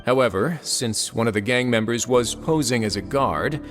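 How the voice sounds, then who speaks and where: A man's voice: medium pitch, moderate pace, music in the background, natural voice. A man voicing a composed, deductive prison warden in a video game. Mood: explaining.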